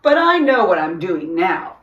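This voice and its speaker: A woman voicing an easily excited young schoolboy cat in a storybook, in an eager, childlike manner. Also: on the low side, measured pace, dull audio. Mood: confident. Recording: roomy echo, distant microphone.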